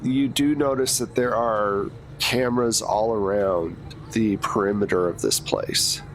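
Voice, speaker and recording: A man narrating as game master of a tabletop game, describing scenes in a relaxed way with frequent fillers. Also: fairly low pitch, measured pace. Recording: noisy background.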